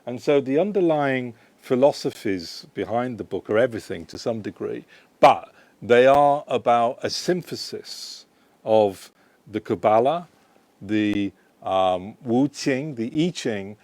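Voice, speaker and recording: Author guy rambling through q&a at his book launch, mellow tone, dry close sound. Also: mid-range voice, expressive, a little slow, dry recording. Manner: rambling and conversational, with frequent "you know" and "right?"; digressive, self-correcting. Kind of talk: live audience Q&A at a book launch. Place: book and music shop.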